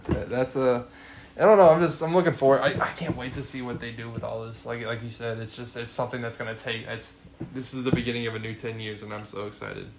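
Excitable young man, casual podcast chat. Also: mid-range voice, fast talker, noisy background. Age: 20s. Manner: excitable, says "bro" a lot. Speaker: podcast co-host.

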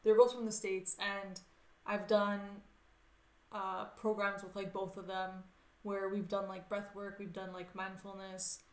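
A woman's voice: mid-range voice, little pitch movement, unhurried. A woman hosting a casual chat, enthusiastic and upbeat, using lots of "like". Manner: enthusiastic, filler-heavy, upbeat. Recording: roomy echo.

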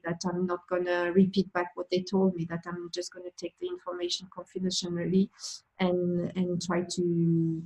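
A woman's voice: lowish voice, dark tone, low-quality recording. French-accented woman guest. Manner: thoughtful, frequent restarts and fillers. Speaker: interview guest, manager. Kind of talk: conversational interview answers.

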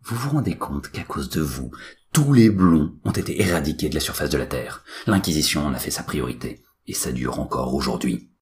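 A man's voice: very deep voice, lively intonation, moderate pace, a little mellow, slight background noise. A man playing an anxious, exasperated professor, agitated, bossy and scolding, in a comic audio story. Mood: appalled. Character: anxious, exasperated male professor, easily angered.

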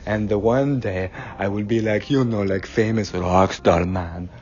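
Comic bit: a man as a pompous would-be rock star, low and unhurried, muffled lo-fi recording with soft music behind. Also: lightly acted. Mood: self-assured. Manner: slow, deliberate, grandiose, stilted formal phrasing. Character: boastful self-confident man dreaming of rock stardom. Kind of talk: comic character monologue. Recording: dull lo-fi sound with faint music.

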